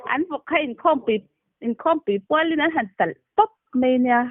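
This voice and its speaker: High-voiced woman on a tinny phone line. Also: normal speed, no room sound. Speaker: phone caller. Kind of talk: casual phone chat. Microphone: telephone.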